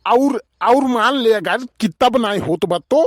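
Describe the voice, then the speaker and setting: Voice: medium pitch, animated, unhurried, no echo, clean studio sound. A performer voicing a nosy, gullible village bachelor in a comedy cartoon, drawling inquisitively in an Awadhi accent. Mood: eager, admiring.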